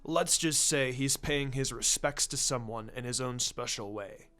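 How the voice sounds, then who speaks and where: A man's voice: mid-range voice, a little slow, pushed and bright, soft background music, pitched below the performer's own voice. A man voicing a wise old male village leader in a story reading, coy and knowing.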